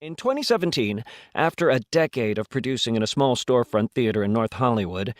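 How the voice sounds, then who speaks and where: An American man reads a scripted podcast introduction. His mid-pitched voice moves with very animated intonation, recorded close and dry. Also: brisk, dry recording. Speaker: host of an audio play series.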